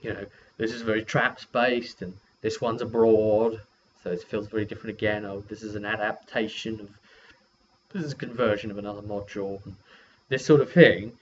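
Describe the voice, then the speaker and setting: A man giving a casual, rambling recap as a game master and video host, halting and often correcting himself mid-sentence. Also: lowish voice, fairly even intonation. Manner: halting, self-correcting delivery. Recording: dull lo-fi sound with faint noise.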